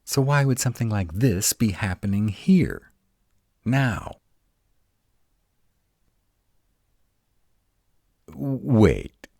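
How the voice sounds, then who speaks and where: A man playing a grumpy, protective were-dragon in a roleplay audio, gruff and exasperated, rattled but defiant. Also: fairly low pitch, expressive, a little mellow, dry recording, studio quality, exaggerated voice. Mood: baffled, skeptical. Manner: gruff, exasperated, halting one-sided dialogue.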